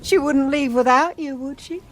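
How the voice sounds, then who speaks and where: A woman's voice: sits high, moderate pace, slight background noise, close to an everyday voice. An actress playing a motherly, cryptic cult woman who sounds reassuring, in a video game. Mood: soothing, cryptic. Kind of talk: video game cutscene dialogue.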